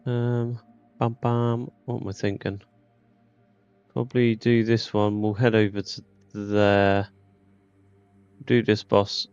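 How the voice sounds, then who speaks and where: Man, very even pitch, creaky voice, informal gaming commentary, thinking aloud. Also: takes it slowly, pauses often, no echo, muffled recording, faint music behind. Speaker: gaming YouTuber. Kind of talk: casual gameplay commentary.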